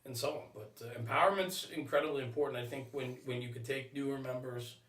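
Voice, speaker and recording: A man speaking in a halting, earnest way in a conversational podcast discussion, repeating himself as he goes. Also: mid-range voice, fairly bright tone. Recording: roomy echo, distant microphone.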